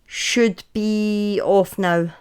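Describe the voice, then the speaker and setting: A woman's voice: mid-range voice, fairly even intonation, extremely slow, darkish tone, clear, resonant tone. A woman in her thirties with a Scottish accent, telling true crime stories casually on a live stream, often breaking off into chatty asides and correcting herself. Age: 30s.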